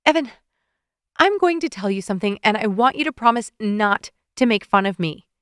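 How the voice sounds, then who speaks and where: A woman's voice: medium pitch, animated, moderate pace, fairly bright tone, clean tone, no echo, clean studio sound, close to an everyday voice. A woman voicing a heartbroken, flustered young woman who blurts out her feelings in tearful outbursts. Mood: upset.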